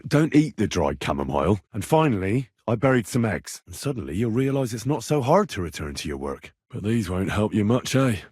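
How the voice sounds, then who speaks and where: A man's voice: fairly low pitch, sing-song, fairly quick, hardly any pauses, darkish tone, breathy edge, no room sound, clean studio sound. An actor playing an earnest, reassuring young medieval man tending the sick in a video game, speaking plainly and practically. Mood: calm, advising. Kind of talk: video game character dialogue.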